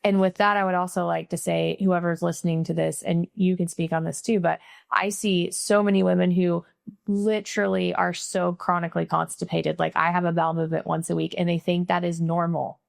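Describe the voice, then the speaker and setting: Woman podcast host, from Missouri, middle-aged, vocal fry, dry close recording, chatty. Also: on the low side, little pitch movement, fairly quick, no room sound. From Missouri, USA. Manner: chatty, frequent "like" and "right?" tags. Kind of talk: casual interview chat and closing sign-off.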